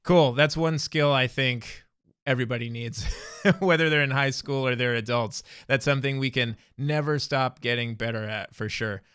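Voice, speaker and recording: Bright, crisp male podcast host. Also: medium pitch, normal speed, no echo, clean studio sound. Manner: upbeat, affirming, paraphrases answers back. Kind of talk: friendly interview, podcast outro.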